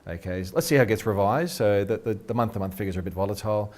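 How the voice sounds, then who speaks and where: A middle-aged Australian man, a research head being interviewed, talks quickly and eagerly and restarts his sentences a lot. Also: on the low side, darkish tone. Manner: quick, eager, repeats words when starting sentences. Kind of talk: casual interview talk. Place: radio studio.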